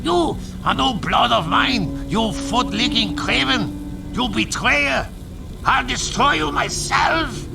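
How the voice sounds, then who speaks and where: A man's voice: very high-pitched, lively intonation, slow pace, vocal fry, heavily acted. A man playing an indignant, betrayed fantasy clansman with archaic, declamatory speech. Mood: outraged. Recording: distant mic under music.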